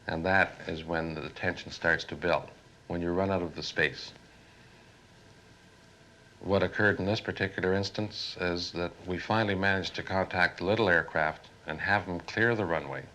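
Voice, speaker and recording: A man with a low, sharp-edged voice talks about his work in an interview. He speaks in deliberate, broken phrases as an air traffic controller. The sound is a 1970s archive television recording with faint noise. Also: low-quality recording. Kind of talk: interview, recounting work experience.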